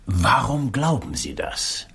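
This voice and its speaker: Detective's sidekick, radio drama, attentive, courteous, agreeable, medium pitch, varied intonation. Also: male voice, very animated, slow, gravelly, fairly bright tone, heavily acted. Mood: attentive, inquiring. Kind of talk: German radio drama acting.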